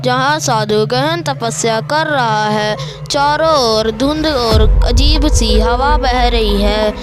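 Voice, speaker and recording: A woman's voice: fairly high pitch, slow. A woman narrator reading a scripted horror story. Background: music.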